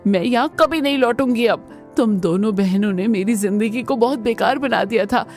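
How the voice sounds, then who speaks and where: A woman's voice: on the high side, moderate pace, darkish tone, faint music behind, close to an everyday voice. A woman voicing a timid, long-suffering young daughter-in-law who turns defiant, with emphatic delivery in a cartoon family melodrama.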